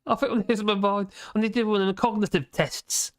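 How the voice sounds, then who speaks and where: Male, 50s, livestream host; rambling, self-mocking, gravelly fry, close dry sound. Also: high-pitched, fairly even intonation, fast talker, dry recording. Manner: chatty, chuckling, self-mocking asides. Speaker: livestream host reading chat. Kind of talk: casual rambling chat. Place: home office at his desk.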